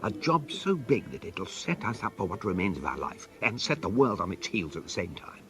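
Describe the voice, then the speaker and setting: A man playing a middle-aged English gentleman turned schemer in a comic radio play, theatrical and persuasive. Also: fairly low pitch, sing-song, a little fast, full character voice.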